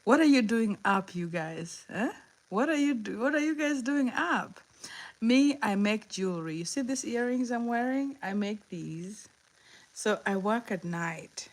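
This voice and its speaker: A woman's voice: medium pitch, lively intonation, unhurried. A woman in her fifties with a Kenyan accent, hosting a casual live chat. She talks in a chatty, encouraging way, laughs often and answers viewers' comments. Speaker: livestream host.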